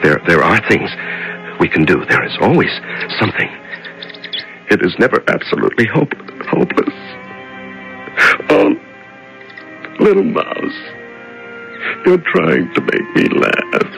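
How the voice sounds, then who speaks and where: An actor in a 1970s radio drama playing a desperate, lonely male prisoner in solitary darkness, halting and repeating himself in a gravelly voice as his sanity frays. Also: fairly low pitch, huge pitch swings, fairly bright tone, soft background music, full character voice, rough recording. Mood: grateful, hopeful. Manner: halting, repeats words, talks tenderly to himself and a mouse.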